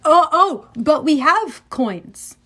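A woman's voice: high voice, big pitch swings, slow pace, pushed and bright, voice pushed up, heavily acted. A woman voicing a young boy hero, brave but unsure, with a plain youthful delivery, as in an audiobook. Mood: eager.

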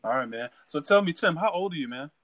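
A man's voice: on the high side, lively intonation, fast talker, fairly bright tone, no room sound, clean studio sound. A man hosting a casual interview, laid-back and dropping "man" into his questions. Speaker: interview host.

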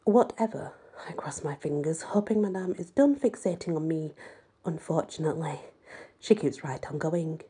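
Woman narrating a novel, low mellow voice, super breathy n husky, kinda dramatic. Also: normal speed. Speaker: amateur audiobook reader. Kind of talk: expressive first-person story narration.

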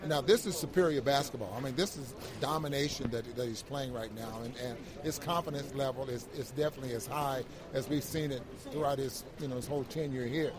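A man co-hosting a sports show, giving casual basketball analysis in a halting way, repeating words as he builds each point. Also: medium pitch. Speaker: basketball broadcast co-host, analyst. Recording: crowd noise behind the voice.

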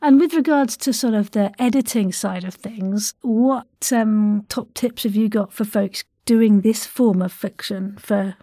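A woman's voice: medium pitch, unhurried, hardly any pauses, warm tone, no room sound, studio quality. A woman hosting a podcast interview in a casual, effusive and encouraging way. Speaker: podcast host, voice acting teacher.

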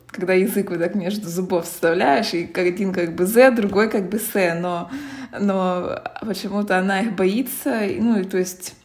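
A Russian-accented woman co-hosts a casual podcast, talking things through at a relaxed pace. Her voice sits in an ordinary middle register. She muses and restarts now and then. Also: medium pitch, moderate pace. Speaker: podcast co-host.

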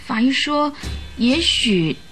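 A woman's voice: high voice, expressive, takes it slowly, fairly bright tone, slightly rough, soft background music, full character voice, rough recording. An actress in a radio drama playing an earnest, troubled young woman who gives careful, emotive accounts. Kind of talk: old Chinese radio drama acting.

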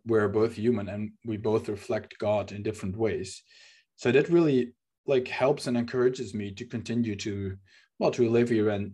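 A man's voice: mid-range voice, a little mellow, breathy edge. Earnest Dutch-accented man hosting a podcast. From Netherlands. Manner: earnest, searching, frequent fillers and repeats. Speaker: podcast host. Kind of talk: reflective podcast discussion.

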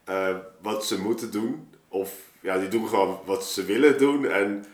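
Low-voiced man in an echoey room. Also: measured pace, recorded from a distance. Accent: Dutch from the Netherlands. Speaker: guest, poet and performer. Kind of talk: casual explanatory chat. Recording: echoey room, slightly off mic.

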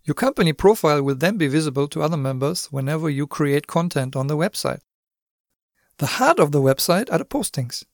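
A man's voice: a little high, expressive, brisk, no room sound, studio quality. German-accented man hosting a podcast. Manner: friendly, explanatory, with occasional asides to the listener. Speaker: podcast host. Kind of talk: scripted podcast monologue.

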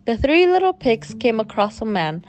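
A woman's voice: a little high, big pitch swings, moderate pace, darkish tone, lo-fi sound. A woman storyteller reading a children's bedtime story aloud.

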